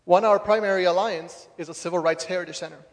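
A young man with a high-pitched voice delivers a prepared speech. Long reverb from a large hall surrounds his words as he addresses the audience as a student leader. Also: steady tone, fast. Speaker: student group president.

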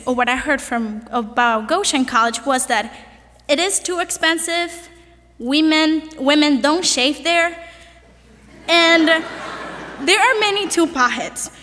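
High-voiced young woman, echoey hall. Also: bright, forceful tone. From Venezuela. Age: young adult. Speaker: graduating student speaker. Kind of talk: personal prepared speech to an audience. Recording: echoey hall with long reverb.

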